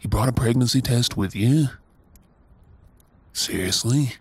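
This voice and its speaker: An Australian man playing a tender, doting young husband in an intimate ASMR audio roleplay, with a soft, breathy voice. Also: mid-range voice, measured pace. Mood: stunned, disbelieving.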